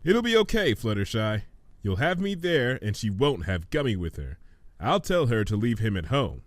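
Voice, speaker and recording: Man voicing a measured, reasonable pony princess. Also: on the low side, very animated, dry recording. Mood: reassuring. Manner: measured, explanatory. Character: calm, reasonable female pony princess, bookish and reassuring.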